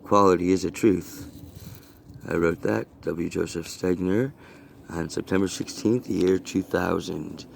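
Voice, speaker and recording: A man reading his own rhyming poem aloud in an earnest, deliberate and rhythmic delivery. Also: low voice, fairly even intonation, gravelly, a little hiss or hum. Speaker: poet reading own work. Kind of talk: recited rhyming poetry reading.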